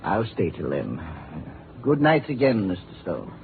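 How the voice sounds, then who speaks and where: An actor playing a gloomy, death-welcoming accordion player and murder suspect, with flowery, pompous phrasing in 1950s radio drama style. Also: male voice, sits low, wildly swooping pitch, a little slow, vocal fry, loud music behind the voice, full character voice. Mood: mocking, courtly. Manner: flowery, pompous, poetic diction. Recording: tinny, faintly noisy 1950 radio broadcast.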